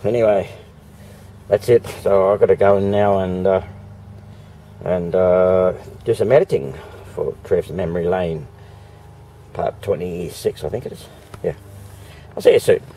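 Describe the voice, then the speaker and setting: A middle-aged Australian man vlogs from home and yard with a hoarse, cold-worn voice. He speaks slowly in a lowish register and keeps chuckling between remarks. The camera mic picks up faint outdoor noise. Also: warm tone. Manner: chatty, laughs often between remarks. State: hoarse, losing his voice. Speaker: vlogger. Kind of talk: casual home vlog to camera. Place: home, indoors and in a backyard.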